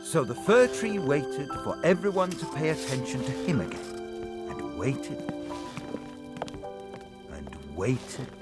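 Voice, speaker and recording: A man playing a storybook narrator, telling an animated fairy tale in deliberate, dramatic phrases. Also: mid-range voice. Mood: wistful storytelling. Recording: prominent music behind narration.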